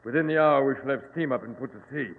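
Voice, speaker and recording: A man acts a haughty, menacing pirate villain in a vintage radio play. His gravelly voice is pompous and mock-gentle. The old recording is narrow and reverberant, with clear noise. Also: mid-range voice, quick pace, lightly acted. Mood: cold menace. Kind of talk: 1940s radio acting.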